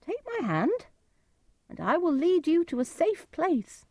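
A woman's voice: extremely high pitch, wildly swooping pitch, a little slow, a little mellow, dry recording, clean studio sound, voice pushed up, full character voice. A woman voicing a nobleman's son disguised as a strange helper, exclaiming and coaxing in a storybook tale. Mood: gentle, reassuring.

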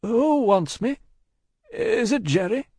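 Mild gentleman passenger played by a male narrator, polite and businesslike, slightly husky, raised pitch, storybook style. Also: very animated, moderate pace, dry recording, clean studio sound, exaggerated voice. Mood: anxious.

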